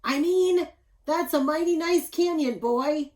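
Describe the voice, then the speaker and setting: Storybook lumberjack pa, done by a woman reader: amazed, tongue-tied, exclaiming in a raised pitch. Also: slow, a little mellow.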